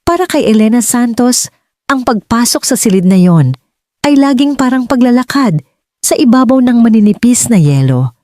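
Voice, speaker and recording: A woman's voice: on the high side, animated, moderate pace, mellow, no echo. A woman reading a scripted dramatic story as an audiobook narrator.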